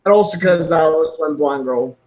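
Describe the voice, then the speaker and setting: An excitable American man laughing and swearing in casual stream chat. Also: on the high side, moderate pace, low-quality recording.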